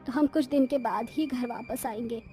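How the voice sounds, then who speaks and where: Woman acting an authoritative, high-voiced mother-in-law. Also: normal speed, mellow, soft background music, close to an everyday voice. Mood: instructing. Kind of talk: acted Hindi moral story dialogue.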